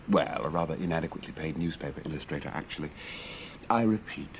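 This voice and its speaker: A man playing a flippant, romantic young gentleman in a radio drama, with a playful, mock-grand manner. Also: a bass voice, fast. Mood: earnest, joking. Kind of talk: British radio drama acting. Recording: muffled radio recording, faint noise.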